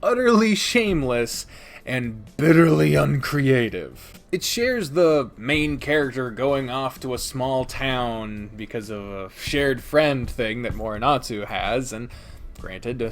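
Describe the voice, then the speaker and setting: A man hosts an opinionated review in a very animated, mid-pitched voice. He is blunt and emphatic, swearing freely as he vents his exasperation. Light music plays underneath his casual commentary. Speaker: video host reviewing games.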